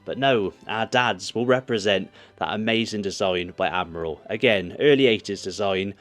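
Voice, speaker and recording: A man's voice: lowish voice, normal speed, a bit bright. A man in his thirties with an English accent, hosting a casual countdown vlog in a chatty, opinionated way, talking straight to viewers. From England. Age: 30s. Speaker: host of a football shirt channel. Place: small room full of football memorabilia. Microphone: small wireless mic held in hand. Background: music.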